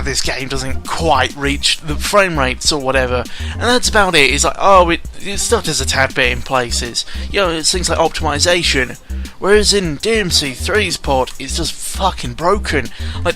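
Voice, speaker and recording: Bright-voiced man rambling about video games. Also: a little high. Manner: sweary, opinionated, exasperated ranting. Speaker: gaming vlogger. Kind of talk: casual rambling video game commentary.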